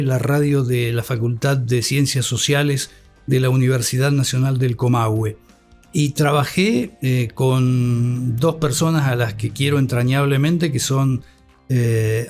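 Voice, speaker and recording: An older man with an Argentine accent giving a reflective interview, articulate, with thoughtful halting pauses. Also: medium pitch, a little mellow. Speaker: interview guest, radio academic.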